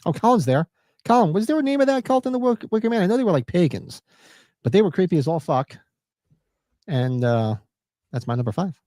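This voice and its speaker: A man co-hosting a live podcast, chatting loosely, joking and reading chat comments, a little hoarse. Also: high voice, lively intonation, fairly quick, long pauses, mellow, no echo, clean studio sound. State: losing his voice. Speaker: podcast co-host. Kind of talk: casual live-stream chat.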